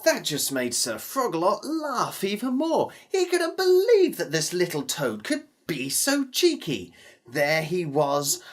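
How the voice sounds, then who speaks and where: A storyteller telling a tale to children in a theatrical manner, with dramatic pauses and questions to the listeners. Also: medium pitch.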